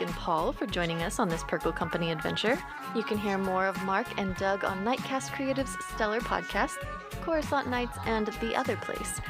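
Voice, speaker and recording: A woman hosting a podcast, reading a scripted outro with loud music under her voice. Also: mid-range voice. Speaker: podcast host.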